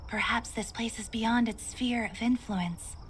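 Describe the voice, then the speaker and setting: Actress doing soft breathy lady scientist from a video game, highish, thoughtful and uneasy, bit of background noise. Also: steady tone, unhurried, natural voice, poor audio. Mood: troubled, pensive. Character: gentle, troubled woman doctor and researcher. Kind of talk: scripted video game dialogue.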